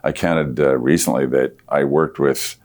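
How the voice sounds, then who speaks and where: A man in his sixties with a very deep radio voice, reminiscing casually and easily in an interview as a storytelling guest. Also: steady tone, measured pace, a little mellow. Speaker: interview guest, veteran themed entertainment creative.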